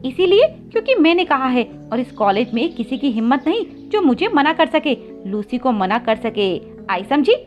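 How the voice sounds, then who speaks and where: A woman acts out a spoiled, contemptuous young female pigeon from a children's cartoon story. The voice is very high and swoops with taunting, bossy scorn. Music plays behind a slightly dull recording. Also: sing-song, normal speed, few pauses. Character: haughty, bullying rich young female pigeon, sneering city girl.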